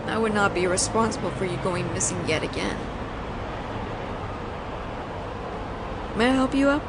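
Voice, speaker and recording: A woman's voice: mid-range voice, natural voice. A woman playing a flirtatious, teasing mafia boss in an audio roleplay, talking in a playful, conversational storytelling way. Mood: flirty, coy. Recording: noisy outdoor city ambience, traffic.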